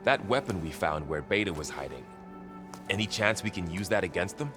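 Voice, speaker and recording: An actor playing a gentle, weary warrior companion in a video game, speaking in a measured, reflective way. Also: male voice, sits low, expressive, music in the background. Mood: subdued, reflective. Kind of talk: naturalistic video game acting.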